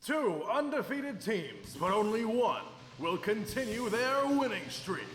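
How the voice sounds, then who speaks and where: An actor playing a showy arena match announcer in a video game, grandiose and drawn out, with stadium reverb. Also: male voice, high voice, sing-song, unhurried, heavy background noise. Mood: grandiose hype. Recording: echoing arena reverb, music behind.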